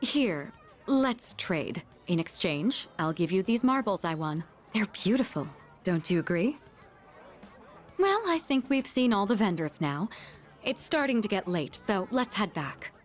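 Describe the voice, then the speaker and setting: A woman playing a refined, patient young woman in a video game, composed, articulate and gently formal. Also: medium pitch, sing-song, a little slow, soft background music. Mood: pleased, composed. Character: poised, refined young woman, a patient elder-sister guide.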